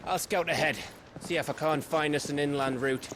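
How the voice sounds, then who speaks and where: A man's voice: a little high, steady tone, moderate pace, noisy background, close to an everyday voice. A man playing a practical, plain-spoken scout companion in a fantasy game, talking casually. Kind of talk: cinematic fantasy game acting.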